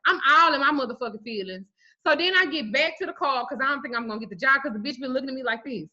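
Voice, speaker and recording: A woman's voice: high-pitched, very fast. Blunt, profane woman in her forties. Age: 40s. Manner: blunt, profane, animated storytelling. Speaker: spiritual reader and root worker. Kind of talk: casual livestream talk. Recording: muffled lo-fi stream audio.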